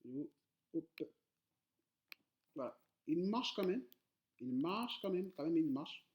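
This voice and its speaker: A man's voice: on the high side, expressive, slow, darkish tone. A man who is not a native French speaker, teaching coding in a casual tutorial, hesitant, with many fillers and repeated words, speaking directly to viewers. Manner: addresses viewers directly, informal.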